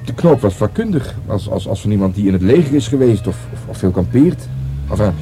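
Very mellow, earnest young detective. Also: male voice, fairly low pitch, big pitch swings, a little fast, soft background music. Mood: thoughtful. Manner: tentative, repeats words while reasoning. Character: younger male police detective, deferential, earnest and hesitant. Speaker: actor in a radio play. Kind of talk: Dutch radio drama dialogue.

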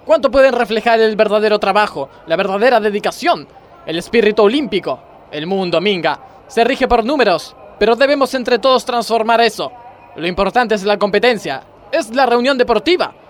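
A man's voice: sits high, animated, normal speed, slight background noise. A man acting a pompous, moralizing gymnastics coach who makes grandiose speeches in a parody radio play. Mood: demanding. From Argentina. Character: pompous, moralizing male gymnastics coach, scolding yet easily distracted.

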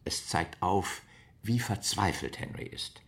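A man's voice: low voice, slow pace, fairly bright tone. A man giving historical commentary as an expert in a radio drama.

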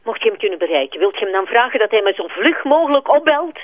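A woman's voice: a little high, very animated, quick pace, no echo. Phone-filtered, anxious Flemish mother. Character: anxious, urgent middle-aged mother. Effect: telephone filter. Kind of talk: radio drama telephone dialogue. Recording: thin, narrow telephone-like sound.

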